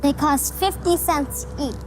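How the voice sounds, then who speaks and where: A woman's voice: high voice, measured pace, soft background music. A woman voicing a precocious, innocent little boy in an audio drama, with a childlike voice and an earnest, inquisitive, cheeky manner. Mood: eager, innocent.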